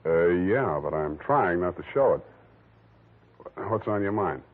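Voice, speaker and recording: Hard-boiled gumshoe, wry and tired, rough-edged husky voice, old radio detective show, dull narrow-band audio. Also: male voice, low-pitched, a little slow. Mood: dry, matter-of-fact. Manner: deadpan, sardonic. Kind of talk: 1940s radio detective acting, first-person narration. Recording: muffled, narrow-band 1940s radio recording.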